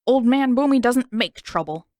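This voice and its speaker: A woman's voice: a little high, expressive, moderate pace, a little mellow, dry recording, clean studio sound, pitched above the performer's own voice, natural voice. Woman doing a boy playing a cranky old man. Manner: gruff, scolding.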